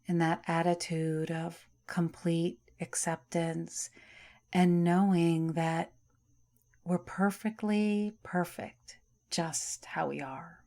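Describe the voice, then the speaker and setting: Slow, soothing woman guiding deep relaxation. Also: steady tone. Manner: soft, slow, soothing guiding cadence with long pauses. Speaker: yoga nidra teacher. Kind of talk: guided relaxation meditation.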